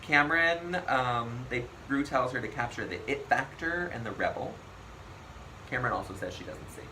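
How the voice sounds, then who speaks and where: A man's voice: medium pitch, expressive, normal speed, sharp tone. A man hosting a casual recap chat, talking loosely with lots of ums and frequent laughs. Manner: chatty, laughs often, trails off with ums. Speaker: podcast host recapping a TV show. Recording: noisy room recording.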